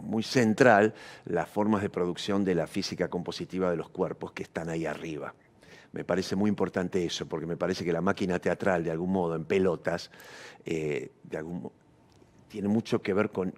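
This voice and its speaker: A man's voice: low-pitched, a little mellow. An Argentine man being interviewed, talking in an abstract, erudite and reflective way, searching for words and often checking in with short tag questions. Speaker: interviewee, theatre practitioner. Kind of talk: reflective intellectual interview talk.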